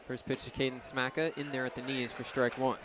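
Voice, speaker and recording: A man's voice: medium pitch, level tone, brisk. A young man calling baseball play-by-play for radio in short, descriptive phrases. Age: young adult. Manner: clipped phrases, descriptive calls. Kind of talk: live sports commentary. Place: outdoor baseball stadium. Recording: ballpark crowd and outdoor noise. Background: crowd and ambient noise.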